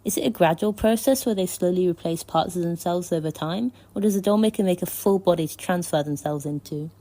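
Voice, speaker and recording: A woman reading prepared fan commentary in a measured, articulate and thoughtful way. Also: on the low side, dark tone. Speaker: listener contributor sending a voice message.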